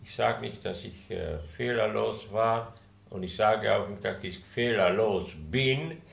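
Older man, Czech accent, very gravelly voice, low-pitched, halting speech, roomy recording. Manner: halting, searching for words, frequent hesitations. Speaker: interviewee. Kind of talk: conversational interview. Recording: roomy room sound.

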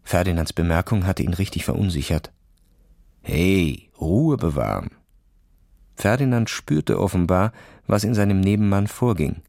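A man's voice: deep voice, brisk, vocal fry, darkish tone, no room sound, studio quality. A man narrating a scripted children's audio story as its storyteller.

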